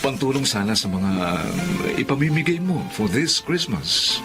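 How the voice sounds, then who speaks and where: A Filipino actor playing a hesitant, remorseful ex-boyfriend in a radio drama. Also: male voice, mid-range voice, big pitch swings, moderate pace, music in the background, natural voice. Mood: apologetic. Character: Gerald, remorseful lawyer ex-boyfriend, pleading and hesitant.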